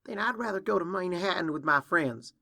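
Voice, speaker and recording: Storybook role: defiant young filly, plaintive and indignant, raised bright pitch male narrator acting it out. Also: lively intonation, unhurried, heavily acted. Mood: hurt, defiant. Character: upset, defiant young filly pony girl.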